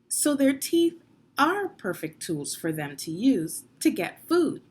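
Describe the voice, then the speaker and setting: A woman's voice: fairly high pitch, very animated, slow, a little mellow, breathy edge. A woman reading children's nonfiction aloud as a teacher, instructive and putting guiding questions to young listeners. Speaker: read-along narrator and reading teacher.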